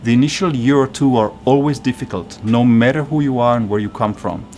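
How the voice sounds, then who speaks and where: A man's voice: mid-range voice, brisk, darkish tone, soft background music. A man speaking earnestly as a mentor in a documentary interview, giving emphatic advice. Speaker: physician-scientist mentor.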